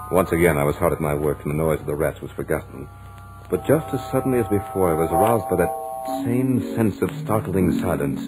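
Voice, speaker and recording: Deep-voiced studious young gentleman, radio horror. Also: rough recording. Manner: measured, dramatic storytelling. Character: studious young male lodger, dryly amused, stubborn. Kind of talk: 1940s radio horror acting, first-person narration. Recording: old radio drama recording with music.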